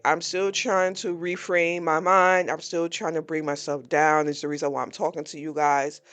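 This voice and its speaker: Slow, blunt forty-something podcast host. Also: medium pitch, fairly bright tone. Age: 40s. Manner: candid, blunt, vents frustration openly. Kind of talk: personal reflective monologue.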